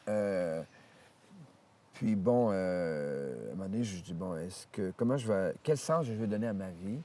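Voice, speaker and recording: A man, a priest telling stories from his life in an interview, rambling with many hesitations and restarts and lively retelling of what others said. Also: lowish voice, far from the mic. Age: 70s. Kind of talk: storytelling interview. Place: outdoors in nature.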